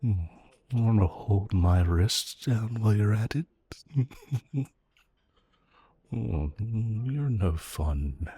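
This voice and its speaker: A man playing a flirtatious, teasing villain in a romantic audio roleplay, with a husky voice and a playful, drawn-out delivery. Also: on the low side, lively intonation, mellow, exaggerated voice. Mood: flirty, coy. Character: flirtatious, teasing male villain, playfully provoking his hero rival. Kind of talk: romantic audio roleplay acting addressed to listener.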